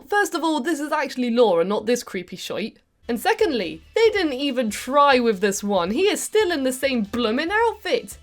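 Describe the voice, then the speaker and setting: High-pitched British woman, playful game commentary. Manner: animated, expressive, mildly sweary, playful exasperation. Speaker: video game reviewer and channel host. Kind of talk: chatty comedic commentary over game cutscenes. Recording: music behind the voice. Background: background music.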